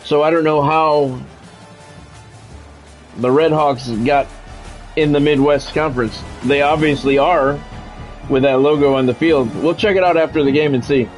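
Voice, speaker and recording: A man's voice: a little high, fairly even intonation, a little slow, long pauses. Guy streaming a game, casual commentary, talking to chat, kinda goes over the same point again, faint music behind. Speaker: game livestreamer. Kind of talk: casual gameplay commentary, chatting with viewers.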